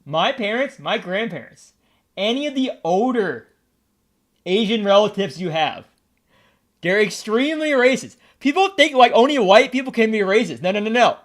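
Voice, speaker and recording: A man's voice: high voice, very animated, normal speed. A young American man chatting casually on a stream, brash and joking, crude and provocative. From United States. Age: young adult.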